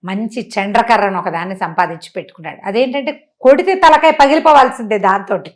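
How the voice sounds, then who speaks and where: A woman telling a moral tale in a conversational, animated way, putting questions to the listener and acting out dialogue. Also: mid-range voice, fast talker. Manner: conversational, uses rhetorical questions and acts out dialogue. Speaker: storyteller and motivational speaker.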